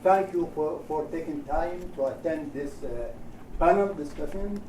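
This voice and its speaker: A man with a high, mellow voice speaks in Iraqi-accented, non-native English. He delivers a formal prepared speech in deliberate short chunks. The sound is roomy and echoing, picked up at some distance from the mic. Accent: Iraqi Arabic. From Iraq. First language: Arabic. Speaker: human rights organisation director and panel speaker. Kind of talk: formal speech read from notes. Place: indoor event room at a panel discussion. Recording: roomy echo, distant mic, faint noise.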